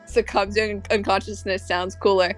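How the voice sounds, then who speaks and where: A woman's voice: medium pitch, flat delivery, normal speed, faint music behind. A woman chatting casually on a fan podcast panel in a giggly, hesitant way.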